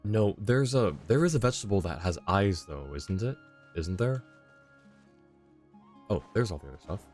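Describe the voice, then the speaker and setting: Low-voiced streamer guy chatting thru a game, laughs a lot, faint game music behind. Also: lively intonation, moderate pace, a little mellow. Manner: chatty, laughs often, muttering asides. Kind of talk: casual live game commentary.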